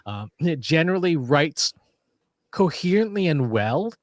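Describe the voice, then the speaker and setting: A man's voice: fairly high pitch, big pitch swings, unhurried, no room sound. Man co-hosting a tech podcast, dry sound. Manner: explains with analogies, frequent restarts. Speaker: podcast co-host. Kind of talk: casual conversational podcast chat.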